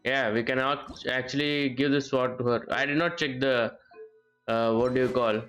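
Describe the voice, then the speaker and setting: A man's voice: mid-range voice, fairly even intonation, normal speed, a bit bright. Man rambling through live game commentary, music behind. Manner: rambling, thinking aloud, repeats phrases. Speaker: game streamer. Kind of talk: casual live gameplay commentary. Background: game music and character voices.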